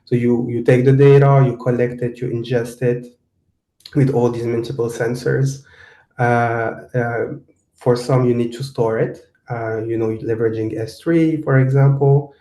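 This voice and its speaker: A man from Morocco giving a tech seminar talk and answering interview questions, halting with many fillers and restarts. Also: medium pitch, little pitch movement, dark tone. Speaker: guest speaker, technical program manager. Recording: roomy echo.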